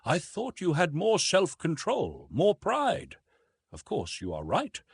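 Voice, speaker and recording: A man's voice: fairly high pitch, huge pitch swings, slow pace, gravelly, breathy edge, no room sound, voice pushed up, heavily acted. A man voicing a cynical, blunt businessman suitor with a condescending, domineering manner in an audiobook. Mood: cynical, smug.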